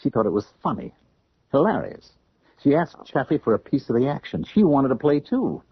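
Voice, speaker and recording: On a muffled, dry 1970s radio drama recording, a young American man in torment and servitude. His lowish, badly roughened voice is earnest and halting, like a confession. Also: wildly swooping pitch, dry recording, exaggerated voice. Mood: anguished, bitter.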